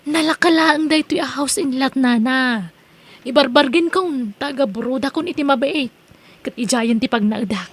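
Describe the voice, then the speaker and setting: A woman's voice: sits high, moderate pace, slightly rough. A woman with an Ilocano accent from the Philippines playing a chatty, exclamatory lady house seller in a radio-style comedy drama. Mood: eager. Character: chatty lady homeowner eager to sell her house.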